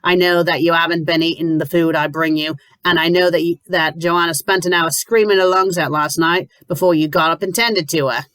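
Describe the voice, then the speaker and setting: A woman voicing a brisk, bossy middle-aged landlady who talks firmly and sternly. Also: fairly low pitch, steady tone, fairly bright tone, dry recording, close to an everyday voice, rough recording.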